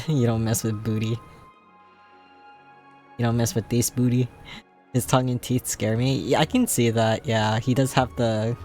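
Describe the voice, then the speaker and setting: A man chatting casually with viewers on a live game stream, his voice hoarse and scratchy, laughing often between sentences. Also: mid-range voice, level tone, measured pace, pauses often, a little mellow, faint music behind. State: hoarse, voice giving out.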